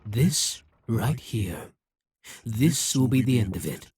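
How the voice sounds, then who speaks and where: A man performs a possessive demon king who speaks slowly and deliberately, his husky voice set close to the mic in a dry, dead room. He sounds coldly menacing and coaxing, a ruler used to bending others to his will. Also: medium pitch, expressive, darkish tone, dry recording, exaggerated voice. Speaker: voice actor. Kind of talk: audio roleplay.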